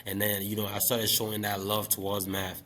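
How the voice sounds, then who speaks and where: A man in his late twenties from Liberia, speaking as an interview guest. He talks about teaching in a relaxed, reflective way, with many fillers. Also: lowish voice, normal speed, a little hiss or hum. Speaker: guest, special education math teacher. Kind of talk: casual interview talk.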